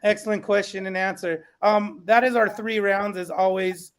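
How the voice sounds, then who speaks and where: Man, high voice, flat intonation, orderly panel moderator. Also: moderate pace, clean tone. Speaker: podcast co-host, moderator.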